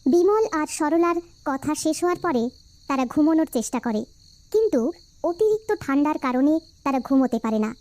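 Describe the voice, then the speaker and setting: A woman's voice: sits high, lively intonation, fairly quick, a little mellow, no echo. A woman reading scripted narration for a cartoon folk tale.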